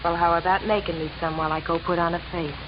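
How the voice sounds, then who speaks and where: Female voice, actress as brassy blasé young wife, offhand, 1950s radio drama, lo-fi with clear noise. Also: lowish voice, fairly even intonation, fast, close to an everyday voice. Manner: offhand, curt, unimpressed. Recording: muffled vintage radio, sizzling noise.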